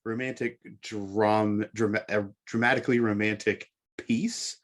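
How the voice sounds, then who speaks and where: A man with a fairly low voice co-hosts a sports talk show. He recaps the news in a measured, halting way, repeating words as he goes. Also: fairly even intonation.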